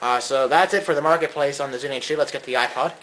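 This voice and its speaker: Bright-voiced man reviewing gadgets casually. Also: mid-range voice, fast. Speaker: co-host, tech reviewer.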